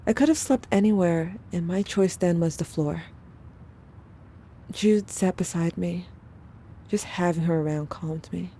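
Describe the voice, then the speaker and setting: A woman playing a weary, somber narrator, telling a first-person story in measured audio drama narration. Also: fairly low pitch, warm tone. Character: somber, weary narrator recounting haunted memories. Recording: faint ambient noise.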